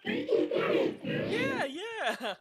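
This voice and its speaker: A woman's voice: very high-pitched, huge pitch swings, extremely slow, vocal fry. A woman streamer giving casual live commentary as she plays a game. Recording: game music behind the voice.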